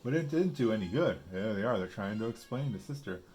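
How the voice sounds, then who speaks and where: Deep-voiced man reading a picture book, very animated. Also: fast talker, slight background noise. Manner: explains simply, as if to a young child. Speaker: storybook reader. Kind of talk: picture book read-aloud with casual asides.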